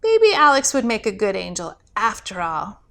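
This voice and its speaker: A woman telling a children's Christmas story as an expressive read-aloud, in the manner of a storytelling host. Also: medium pitch, moderate pace, gravelly. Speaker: pastor and storyteller, show host.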